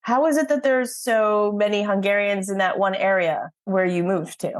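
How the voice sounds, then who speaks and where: Female podcast host, average pitch, conversational, affirming interviewer who recaps and prompts. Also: normal speed. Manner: affirming, sums up before asking questions.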